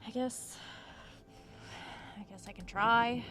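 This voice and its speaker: A woman's voice: fairly high pitch, steady tone, takes it slowly. An actress playing an uneasy woman writer investigating a haunted house, thinking aloud hesitantly as in a diary in a horror audio drama. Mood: uneasy, reflective.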